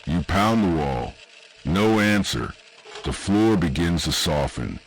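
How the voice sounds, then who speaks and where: A man reading scripted horror narration in an ominous, deliberate way, with short dramatic phrases and pauses. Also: low voice, animated, a little hiss or hum. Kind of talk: scripted second-person analog horror narration.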